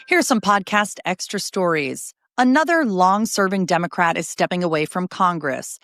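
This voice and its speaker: A woman in her thirties hosting a news podcast, reading scripted headlines in a bright, conversational way with light asides. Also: on the low side, lively intonation, normal speed, faint music behind.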